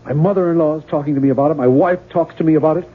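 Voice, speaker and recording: An actor playing a tormented, pleading, weary novelist in a radio mystery drama, speaking earnestly and with anguish. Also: male voice, a little high, very fast, natural voice. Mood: anguished, desperate. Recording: tinny, noisy 1970s radio broadcast.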